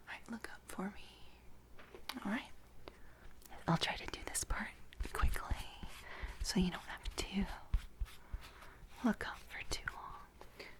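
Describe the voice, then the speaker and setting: A woman doing a soft-spoken ASMR roleplay as a makeup artist, breathy and whispered, giving gentle, reassuring instructions. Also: mid-range voice, takes it slowly, pauses often.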